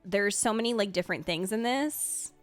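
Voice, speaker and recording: A woman's voice: medium pitch, moderate pace, soft background music. A woman hosting in a casual, giggly way, giving enthusiastic reaction commentary as she goes through a ranking. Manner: gushing, enthusiastic. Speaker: video host and streamer.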